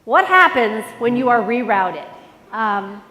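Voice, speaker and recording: A woman's voice: fairly high pitch, animated, takes it slowly, bright, forceful tone. A middle-aged woman with a nasal voice giving a motivational keynote speech, telling a personal story and talking straight to the audience. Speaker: conference keynote speaker. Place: large conference hall. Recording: long hall reverb. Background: long reverb of a large hall.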